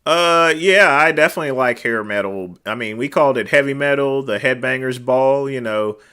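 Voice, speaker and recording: A man hosting a casual livestream, talking to viewers in a loud, carrying voice, rambling with many fillers and letting phrase ends drop off quietly. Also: mid-range voice, moderate pace, smooth. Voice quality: naturally loud, cutting voice. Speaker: livestream host. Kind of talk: casual chat reading viewer comments. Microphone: desk microphone.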